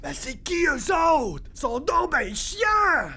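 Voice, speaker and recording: A man's voice: very high voice, big pitch swings, slow, creaky, very noisy, heavily acted. A man voicing a touchy, boastful devil, bombastic and indignant, with a Quebec French accent, in a comic cartoon style. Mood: furious. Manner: bombastic, indignant, easily flustered. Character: blustering male devil, touchy, boastful prince of darkness. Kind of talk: comic cartoon villain acting.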